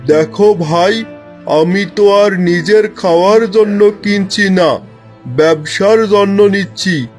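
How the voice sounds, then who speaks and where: A man voicing a shrewd, sly village lemon trader in a cartoon, haggling in an unhurried way. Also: high voice, soft background music. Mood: shrewd, bargaining. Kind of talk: Bengali cartoon dialogue.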